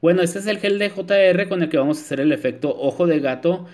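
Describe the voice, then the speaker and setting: Chatty man with a raised pitch. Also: fairly even intonation, fast, a little mellow. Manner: chatty, many filler "este", addresses viewers as "chicas". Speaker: nail technician. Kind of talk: voice-over nail tutorial.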